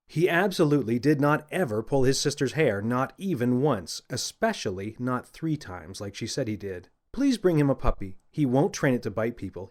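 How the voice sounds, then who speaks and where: A man's voice: medium pitch, a little mellow, close to an everyday voice. A man reading as a naughty boy who pretends to be his own boastful mother, earnest and over-insistent, in a children's audiobook.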